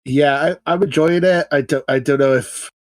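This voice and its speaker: A man's voice: a little high, normal speed, dry recording. A man with a blocked, nasal nose from a cold, co-hosting a casual podcast with lots of uhs and restarts. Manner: hesitant, full of uhs and restarts. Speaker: podcast co-host. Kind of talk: casual chat. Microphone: podcast microphone.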